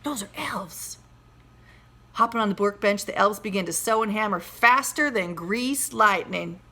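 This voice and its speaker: A woman teacher reading a children's story aloud in an animated, teacherly way. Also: medium pitch. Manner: animated, teacherly, pauses to question listeners.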